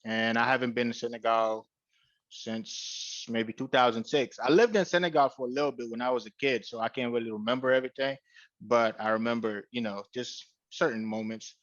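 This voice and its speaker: A man's voice: mid-range voice, steady tone, fairly quick, a bit bright, no echo, muffled recording. A young man from Guinea raised in Atlanta, with a West African and Atlanta accent, chatting as an interview guest, hesitant with many uhs and easy laughs. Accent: West African mixed with Atlanta. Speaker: guest, YouTube creator. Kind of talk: casual interview chat. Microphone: headset on video call.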